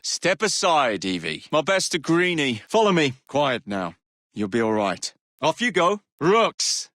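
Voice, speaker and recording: An actor voicing a brash, playful young Victorian London gang leader in a video game, with an English accent and a teasing, roguish manner that turns to clipped commands. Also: male voice, on the high side, a bit bright, no echo, clean studio sound. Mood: brisk, commanding.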